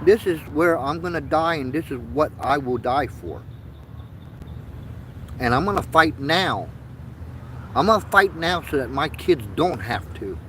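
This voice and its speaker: A man's voice: on the high side, animated, a little slow, pauses often, bright, forceful tone, a little hiss or hum, low-quality recording. A man in his fifties, born in Vietnam and raised in the United States, talking earnestly in a rambling livestream, often stopping to repeat himself. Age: 50s. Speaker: livestream host. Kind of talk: rambling casual livestream monologue.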